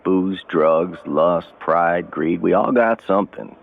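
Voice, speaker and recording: An actor playing a steady, devout, middle-aged prisoner in a radio drama, plain-spoken and folksy, with a gravelly, husky voice. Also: male voice, low-pitched, takes it slowly, narrow, tinny audio, heavily acted. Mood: blunt concern. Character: steady, blunt, devout male long-term prisoner, kindly counselor.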